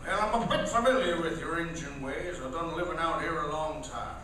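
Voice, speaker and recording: A man's voice: a little high, brisk, bright, forceful tone. A man playing a folksy frontier loner with an Old West American drawl in a comic Western radio play. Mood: plainspoken, wry. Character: folksy frontier loner, a dry-mouthed drawling prairie cowboy. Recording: echoey hall, distant mic, faint noise.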